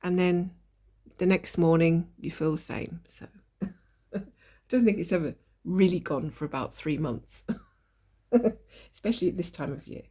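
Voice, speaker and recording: A woman with a fairly low voice chats through a stitch-along from her desk. A lingering cold leaves her sounding nasal and slightly hoarse. She talks in a relaxed, apologetic way, laughing softly now and then. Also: a little slow, pauses often. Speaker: cross-stitch vlogger. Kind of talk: casual stitch-along chat. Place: at a desk indoors.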